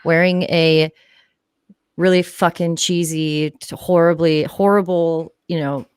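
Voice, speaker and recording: Blunt low-voiced woman, dry close sound. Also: fairly even intonation, slow pace, clear, resonant tone, dry recording. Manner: blunt, sardonic, sweary. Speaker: guest co-commentator on a live stream. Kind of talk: casual chat commentary.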